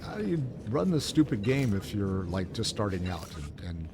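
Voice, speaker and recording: A man's voice: lowish voice, sing-song, moderate pace, a little mellow, slight background noise. A man co-hosting a gaming show, chatting casually as he plays in a rambling, mildly exasperated way. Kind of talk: casual chat while gaming.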